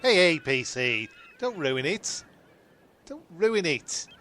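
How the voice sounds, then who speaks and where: A man's voice: a little high, big pitch swings, slow pace, pushed and bright, slight background noise, full character voice. A man playing a placating, nagging castaway who repeats himself, in an improvised comedy audio drama. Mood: chiding.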